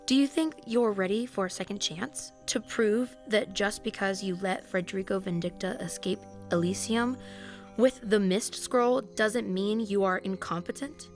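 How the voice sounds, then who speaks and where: A woman playing an authoritative, teasing agency superior in an audio drama, speaking in a composed and deliberate way. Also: mid-range voice, faint music behind, natural voice. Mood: firm, persuasive. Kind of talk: scripted audio drama acting.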